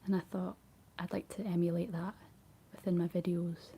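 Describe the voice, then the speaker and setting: Whispering Scottish woman, breathy and soft. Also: fairly low pitch, flat delivery, moderate pace. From Scotland. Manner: rambling, chatty, giggly. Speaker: ASMR creator. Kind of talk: whispered ASMR chat.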